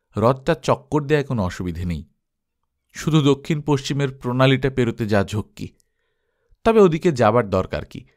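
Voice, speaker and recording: A man reading an adventure story aloud as dramatized audiobook narration, acting expressively through the tale. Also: medium pitch. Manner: expressive, acts out characters' dialogue.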